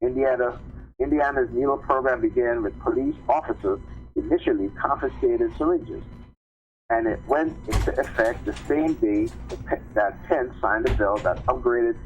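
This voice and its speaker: A man reading news articles aloud on a live stream, haltingly, repeating phrases and adding informal commentary. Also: mid-range voice, faint music behind. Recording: tinny phone-line sound with clear background noise.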